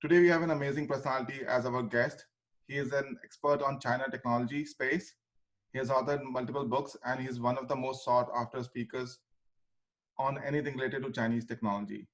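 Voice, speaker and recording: A man hosting a podcast who introduces the show in a measured, announcing way. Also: medium pitch, steady tone, rough recording. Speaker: podcast host. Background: faint music.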